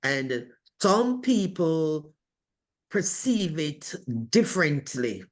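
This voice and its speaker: A woman with a strong voice, speaking slowly and deliberately with many pauses as a participant in a video call discussion. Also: low voice. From from abroad. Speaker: webinar participant. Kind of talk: reflective group discussion.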